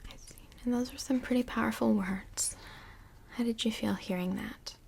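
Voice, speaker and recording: A woman playing a polite, busy stand-in couples counselor in Hell in a soft-spoken ASMR roleplay, with a breathy, whispery voice and measured, tactful, professional phrasing. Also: a little high, slight background noise. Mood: calm, tactful.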